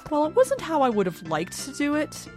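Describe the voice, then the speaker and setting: A woman acting a proud, authoritative village mayor in a fan radio play, brisk and commanding. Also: high-pitched, wildly swooping pitch, faint music behind. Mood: composed, satisfied.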